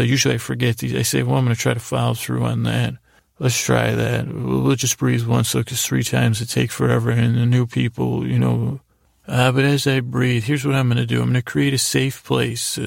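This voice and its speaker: Very gravelly, rambling man hosting a bedtime podcast. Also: medium pitch, no echo, clean studio sound. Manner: halting, meandering, self-interrupting, droll mock-serious silliness. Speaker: podcast host. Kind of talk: rambling bedtime monologue with tangents.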